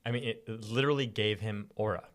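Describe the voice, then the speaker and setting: Young male co-host, lowish pitch, casual and enthusiastic, heavy on slang. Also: fairly even intonation, fairly quick. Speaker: podcast co-host. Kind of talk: casual chat about Bible stories.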